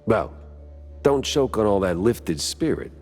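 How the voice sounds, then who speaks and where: A hard-boiled cartoon mouse detective with a very low, gruff American voice. He talks slowly, in a wry, laconic noir manner, tired but dogged, with music underneath. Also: sing-song, a little mellow, exaggerated voice. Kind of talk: 1930s noir detective acting with brooding voice-over.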